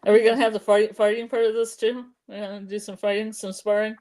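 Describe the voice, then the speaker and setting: A woman joining an online book club chat, playful and quick to giggle. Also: mid-range voice, little pitch movement, a little mellow. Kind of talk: casual live video chat.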